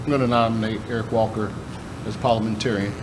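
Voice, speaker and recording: A man presiding as board president, moving briskly through procedural items. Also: lowish voice, steady tone. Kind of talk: chairing a meeting. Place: school meeting hall. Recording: noisy meeting room.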